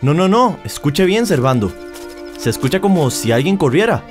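A man's voice: fairly high pitch, very animated, moderate pace, soft background music. An actor playing a wary, scheming man on a search in a radio soap opera. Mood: alert, suspicious.

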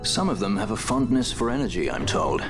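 An actor playing a suave, sardonic young sky pirate in a video game, dry wit. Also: male voice, lowish voice, very animated, over music. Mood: wry. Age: young adult. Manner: dry, wry, unhurried wit. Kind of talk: video game cutscene acting.

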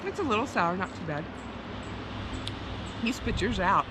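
A woman's voice: mid-range voice, big pitch swings, moderate pace, heavy background noise. A woman chatting casually with her hiking companions outdoors. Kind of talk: casual chat. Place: outdoors on a trail.

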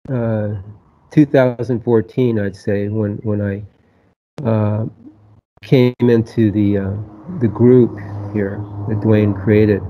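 A man in his seventies whose first language is Latvian, telling his life story slowly, pausing often with fillers. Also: medium pitch, dark tone, lo-fi sound, slight background noise. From United States. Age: 70s. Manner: slow, halting, many pauses and fillers. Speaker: guest. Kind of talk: casual interview talk.

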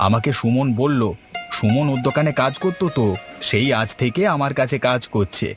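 A man's voice: medium pitch, brisk, music in the background, close to an everyday voice. A man voicing a humble, eager village eatery owner in a cartoon story. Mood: content. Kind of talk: Bengali cartoon story dialogue.

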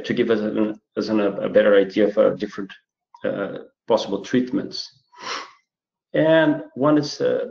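A man from Brazil giving an unscripted webinar lecture on chemistry research, halting, with many fillers and restarts. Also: on the low side, creaky, a little mellow. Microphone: computer mic on a video call. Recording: roomy, muffled webinar audio.

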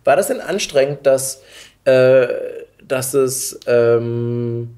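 Male podcast host, average pitch, casual and easygoing, asks questions in hesitant phrasing. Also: slow, mellow. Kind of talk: casual interview chat.

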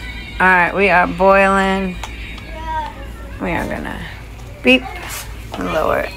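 A woman's voice: fairly low pitch, fairly even intonation, slow, gravelly, over music, poor audio. A young woman narrating as she cooks in her home kitchen, talking to the camera in a chatty, casual way. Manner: chatty, talks to camera while cooking. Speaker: cooking vlogger. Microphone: phone held or propped near stove. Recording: home phone audio with kitchen noise.

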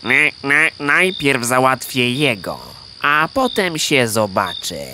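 A woman's voice: rumbling low, expressive, slow pace, pushed and bright, full character voice. An actor playing a proud, scheming male gander in a children's audio play, condescending and full of honking interjections. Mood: sly, commanding. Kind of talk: children's audio drama acting. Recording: clear background noise of night insects.

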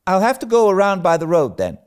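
A man's voice: high voice, very animated, a little slow, pitched above the performer's own voice. A man voicing an eager, imaginative young girl in a storybook, breathless, pleading and melodramatic. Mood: reluctant, desperate. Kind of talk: storybook character reading.

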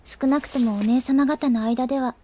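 A woman's voice: high voice, steady tone, normal speed, warm tone. A woman playing a cheerful, gossipy teenage schoolgirl in an anime audio drama. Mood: confiding.

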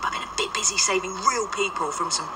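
An actress in a radio drama playing a brusque, wry, sardonic ghost agent with a dry, impatient manner. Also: female voice, medium pitch, fast, far from the mic, heavy background noise, close to an everyday voice. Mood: exasperated.

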